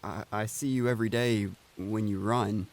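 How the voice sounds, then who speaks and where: A man playing a gentle, anxious man in his late twenties in an audio drama, speaking in an awkward, halting, earnest way. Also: on the low side, fairly even intonation, natural voice. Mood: nervous, shy.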